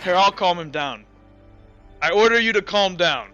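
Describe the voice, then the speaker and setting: A man acts out a massive, good-natured guard in a tabletop game, earnest and politely scolding. The voice is fairly high and bright, on a slightly dull recording. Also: animated, normal speed, soft background music. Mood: stern but friendly. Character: huge amiable jacked male guard, earnest and literal-minded.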